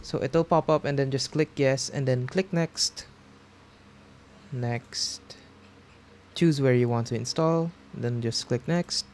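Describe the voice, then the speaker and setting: A man hosts a how-to tutorial in an everyday, mid-range voice. He talks casually, guiding the listener through software steps one at a time. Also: medium pitch, takes it slowly, pauses often, slight background noise. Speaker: tutorial host.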